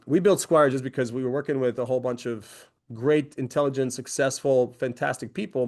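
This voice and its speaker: A man in his forties from Croatia, a company founder giving a conversational presentation with many fillers and restarts. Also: medium pitch. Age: 40s. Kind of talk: webinar presentation.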